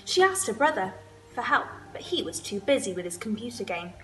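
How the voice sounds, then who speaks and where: A woman's voice: mid-range voice, big pitch swings, fairly quick, soft background music, poor audio. A young woman storyteller reading a children's picture book expressively. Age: young adult. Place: indoors, room with wood-panelled wall and sofa.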